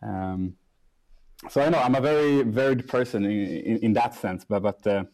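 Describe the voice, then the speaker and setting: A young man, a startup CEO, answering interview questions in a casual, halting way with many restarts and fillers. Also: fairly low pitch, darkish tone. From Sweden.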